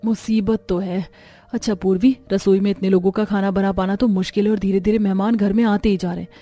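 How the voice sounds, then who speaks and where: A woman voicing a brisk, matter-of-fact elder sister-in-law in a cartoon family drama, firm and instructing. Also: medium pitch, fairly even intonation, dark tone, soft background music, close to an everyday voice.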